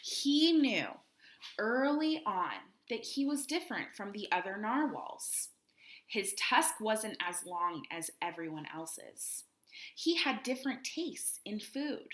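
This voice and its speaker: Slow-paced woman teacher reading to children. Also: mid-range voice, big pitch swings, a bit bright. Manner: instructional, addresses children with questions and prompts. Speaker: primary school teacher. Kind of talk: read-aloud storytime for young children.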